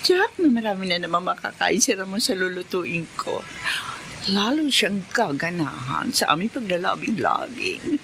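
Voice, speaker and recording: Flighty pleased-with-herself lover, gleeful, creaky, noisy background, radio drama. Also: female voice, lowish voice, big pitch swings, moderate pace. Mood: smug, gleeful. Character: flighty, self-satisfied, amorous woman, unrepentant deserter.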